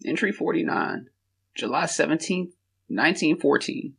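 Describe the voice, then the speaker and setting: A man playing a terse announcer of dated diary entries, with a husky, gravelly voice. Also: fairly high pitch, lively intonation, normal speed, fairly bright tone, heavily acted. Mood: flat, solemn.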